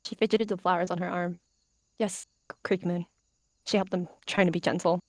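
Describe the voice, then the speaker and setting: A woman's voice: lowish voice, fairly even intonation, fast, darkish tone, no room sound. A woman narrating her character's actions in a third-person animal roleplay. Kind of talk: narrated text roleplay, read aloud.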